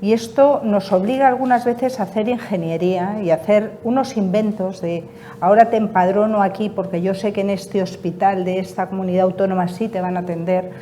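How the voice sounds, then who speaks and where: A woman's voice: mid-range voice, mellow, slight background noise. A woman representing a patients' association, answering interview questions emphatically and repeating her key points. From Spain.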